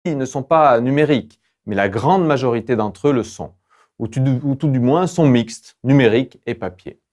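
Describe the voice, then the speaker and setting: A man delivers scripted educational narration as an online course lecturer, in a measured, formal, didactic manner. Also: mid-range voice, animated, darkish tone, faint music behind.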